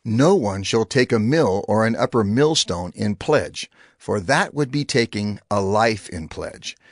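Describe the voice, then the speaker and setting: An actor playing a solemn prophet giving law to his people in dramatized scripture, declamatory, deliberate and authoritative. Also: lowish voice, lively intonation, no echo, studio quality. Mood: solemn, commanding. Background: background music.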